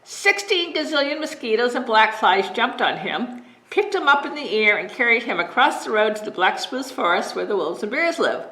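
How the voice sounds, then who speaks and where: Bright-voiced woman reading bedtime stories. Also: fairly high pitch, moderate pace. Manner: gentle expressive read-aloud. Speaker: librarian storyteller. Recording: roomy echo.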